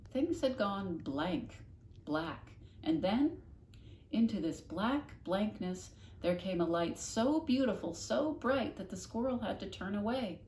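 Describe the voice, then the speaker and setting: A woman reading a children's novel aloud expressively, with dramatic, deliberate emphasis. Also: medium pitch, lively intonation, darkish tone. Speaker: storybook reader, classroom host. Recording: echoey room, faint noise.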